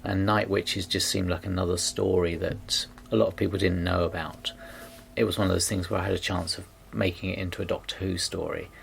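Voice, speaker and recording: A man with a low, heavily creaky voice talks informally as a writer being interviewed. There is faint noise in the background. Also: flat delivery, brisk. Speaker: scriptwriter. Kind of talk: informal behind-the-scenes interview.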